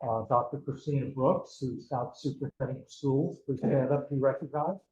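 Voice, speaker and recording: A man's voice: mid-range voice, moderate pace, a little mellow. A man serving as club secretary, introducing guests in a friendly, plain-spoken way. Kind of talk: meeting announcements. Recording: dull echoey room with faint noise.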